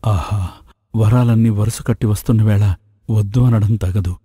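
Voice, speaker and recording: A man's voice: fairly low pitch, steady tone, normal speed, mellow, husky, no room sound, clean studio sound. A man playing a greedy, courtly ascetic sage in a moral folk tale, with formal, theatrical phrasing. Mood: scheming. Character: flattering, greedy male ascetic sage, courtly and self-serving.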